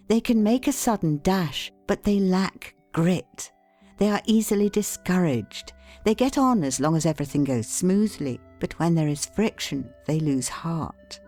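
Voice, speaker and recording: A woman reading an audiobook in a measured, formal, deliberate manner. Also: low voice, mellow. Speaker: audiobook narrator. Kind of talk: scripted audiobook narration.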